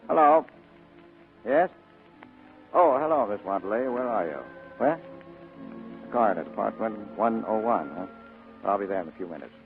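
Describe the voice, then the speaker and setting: A man's voice: on the low side, animated, moderate pace, long pauses, faint music behind. A man playing a wry, tough, cynical private detective in a 1940s radio drama, slangy and sardonic. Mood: wry, teasing. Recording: narrow, tinny old radio broadcast.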